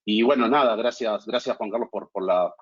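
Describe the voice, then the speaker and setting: A middle-aged Argentine man speaks in a deep, husky voice, measured and halting, often repeating words as he introduces himself on a panel. His Argentine Spanish accent is plain throughout. The sound is dull and muffled, like video call audio.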